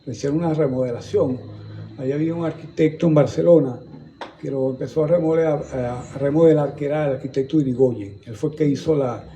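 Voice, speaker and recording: A man's voice: fairly high pitch, fairly quick, warm tone, a little hiss or hum. An older man from Venezuela with a Venezuelan Spanish accent, recalling local history in a rambling way, with many restarts and repeated words. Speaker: guest historian. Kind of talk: conversational storytelling in a museum talk. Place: museum gallery, indoors.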